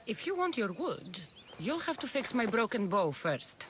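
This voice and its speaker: A tough young female mercenary in a video game. She sounds sardonic and guarded, yet her pitch moves widely. There is faint noise behind the voice. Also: big pitch swings, unhurried, a bit bright. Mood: bargaining. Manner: dry, sardonic, plain-spoken. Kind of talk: video game cutscene acting.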